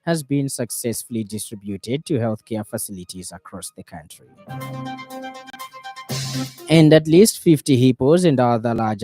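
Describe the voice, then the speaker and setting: Mellow-voiced Zambian male newsreader. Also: medium pitch. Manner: formal, measured newsreader delivery. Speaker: radio news presenter. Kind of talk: scripted evening news bulletin reading. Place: radio studio.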